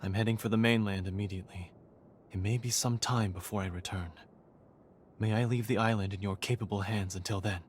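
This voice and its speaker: An actor playing a courteous, refined male leader in a video game, with formal, measured, polite diction. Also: fairly low pitch, fairly even intonation, a little hiss or hum, natural voice. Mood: gracious, earnest. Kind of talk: video game cutscene acting.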